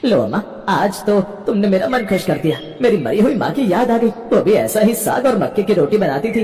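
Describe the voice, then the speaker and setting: A woman's voice: mid-range voice, very animated, a little fast, dark tone, close to an everyday voice. A woman voicing a poor, grateful dwarf man, respectful and eager, in a cartoon tale. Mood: grateful, pleased. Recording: reverberant hall-like sound.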